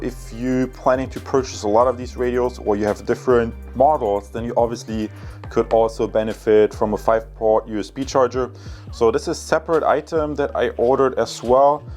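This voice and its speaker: A man's voice: mid-range voice, moderate pace, darkish tone, faint music behind. A man presenting a casual, explanatory tech review, speaking enthusiastically and directly to viewers.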